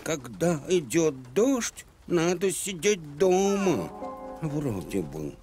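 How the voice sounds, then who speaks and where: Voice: mid-range voice, very animated, takes it slowly. An actor playing a timid, gloomy, apologetic big male elephant in a children's cartoon, speaking hesitantly and dolefully. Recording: loud music behind.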